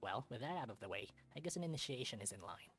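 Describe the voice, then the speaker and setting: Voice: mid-range voice, animated, fast talker, a bit bright, faint music behind, lightly acted. A performer voicing an earnest, slightly officious young male fox who heads a school science club. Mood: self-assured.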